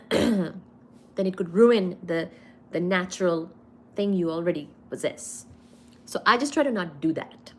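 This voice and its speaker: A young woman with an Indian accent chats on a live stream, reading out viewer comments and answering them one by one. She speaks at a slow, unhurried pace, pausing often with little fillers. Her voice sits at a middle pitch for a woman. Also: a bit bright. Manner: unhurried, hesitant, reads comments aloud with frequent fillers. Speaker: livestream host. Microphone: phone or webcam on a live stream.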